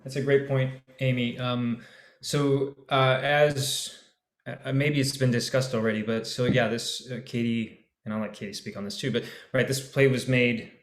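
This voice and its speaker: A man actor on a panel, speaking reflectively with halting restarts over a video call. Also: mid-range voice, flat delivery, echoey room. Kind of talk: panel discussion answers.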